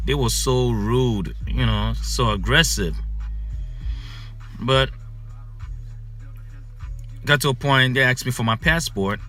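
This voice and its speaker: Man, bright tense voice, middle pitch; loose, repetitive storyteller. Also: slow pace, long pauses, soft background music. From Ghana, raised in the United States. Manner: animated, repetitive, peppered with "man" and "you know". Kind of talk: casual personal storytelling.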